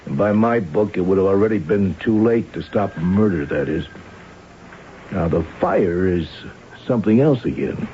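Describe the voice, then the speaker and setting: An actor playing a methodical police arson captain in a 1970s radio drama, putting questions in a clipped, matter-of-fact way. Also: male voice, low-pitched, expressive, normal speed. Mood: grave, matter-of-fact. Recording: dull, hissy vintage radio broadcast.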